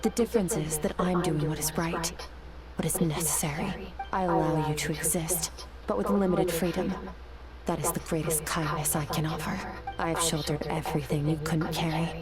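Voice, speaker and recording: A stern young warrior , played by a woman, speaks low with cold detachment and grave scorn. It is video game acting ruled by reason rather than feeling, with loud music behind the voice. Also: fairly even intonation, moderate pace, close to an everyday voice. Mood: cold, resolute.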